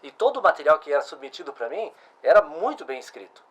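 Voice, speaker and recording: Middle-aged man, chatty interview host, voice sits a little high. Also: very animated, quick pace, breathy edge. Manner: conversational, restarts and asks follow-up questions. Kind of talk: casual interview chat.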